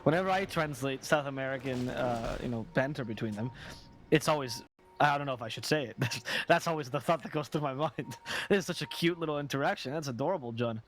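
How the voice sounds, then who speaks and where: A man co-casting a live esports match, laughing often and breaking into excited outbursts. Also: mid-range voice, brisk. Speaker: esports co-caster. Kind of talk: live game commentary.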